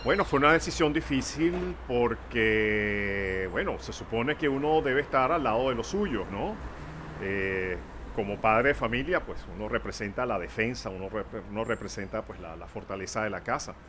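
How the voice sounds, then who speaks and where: Older man in his sixties, low-pitched and halting, outdoors at a street corner with faint traffic behind. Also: expressive. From Venezuela. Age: 60s. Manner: hesitant, halting, heavy on fillers. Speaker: interviewee, father. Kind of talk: documentary interview.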